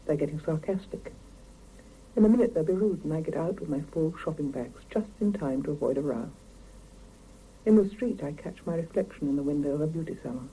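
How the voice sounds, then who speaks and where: A woman's voice: sits low, brisk, warm tone, natural voice. An actress playing a weary, wry woman who narrates her own day in clipped phrases in a 1970s radio drama. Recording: faintly noisy 1975 radio cassette recording.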